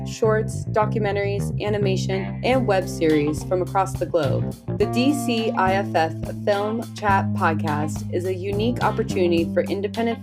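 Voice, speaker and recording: A woman hosting a film festival podcast, reading a scripted intro and then chatting casually with a guest. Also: mid-range voice. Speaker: podcast host.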